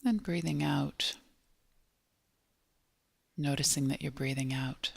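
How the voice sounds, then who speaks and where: A woman leading a guided body scan meditation in a gentle, measured manner, leaving long pauses between short phrases. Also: deep voice, creaky, husky, no room sound.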